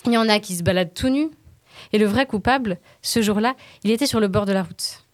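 A woman's voice: mid-range voice, a little fast, dry recording, studio quality. A woman telling a playful, dramatic story as a podcast columnist.

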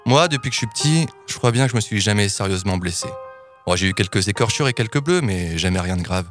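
Chroniqueur trentenaire à la voix grave, sur fond musical. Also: male voice, lowish voice, lively intonation, quick pace, soft background music. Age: 30s. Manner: playful, informal, mock-dramatic. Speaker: podcast columnist. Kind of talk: scripted humorous narration.

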